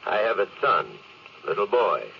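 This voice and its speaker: A man's voice: fairly low pitch, sing-song, moderate pace. A man in a 1950s radio drama playing a controlled spaceship captain, terse, grave and authoritative. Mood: restrained. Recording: muffled, noisy old radio broadcast recording.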